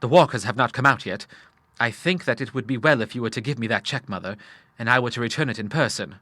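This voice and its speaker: A man's voice: medium pitch, fast, pushed and bright, no room sound. A man voicing an earnest, honourable grown son in an audiobook, speaking formally and with emphasis. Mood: grateful, sincere. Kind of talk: audiobook character voice.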